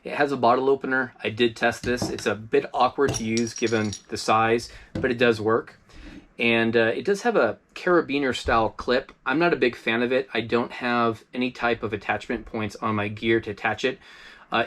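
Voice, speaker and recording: A man's voice: mid-range voice, normal speed. A man talks through a gadget review in a loose, easygoing way, full of ums and uhs. His voice sits in a middle register, and he sounds like he is chatting to his viewers from inside an RV. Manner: relaxed and chatty, frequent fillers. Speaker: host of a preparedness review channel. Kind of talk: casual product review.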